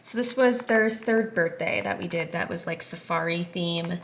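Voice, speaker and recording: A woman in her thirties, talking to the camera at home in a chatty, upbeat way that is full of fillers. Her voice sits low and has a lot of gravelly fry, and she sounds a little raspy, as if she is only half awake. A faint hiss runs under the recording. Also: moderate pace. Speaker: lifestyle vlogger. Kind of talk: casual chatty vlog.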